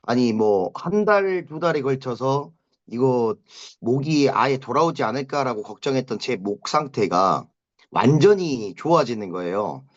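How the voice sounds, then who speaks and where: A man in his thirties with a mid-pitched voice, heard through dull, lo-fi online meeting audio. He gives a testimonial to a group in a halting, informal way. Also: expressive. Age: 30s. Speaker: business owner giving a product testimonial.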